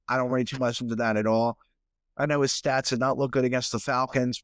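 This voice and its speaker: A man's voice: mid-range voice, no echo, studio quality. A young man with a stuffy nose hosting a solo sports podcast in a casual, rambling way, often repeating words as he restarts. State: stuffy from sinuses. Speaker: podcast host.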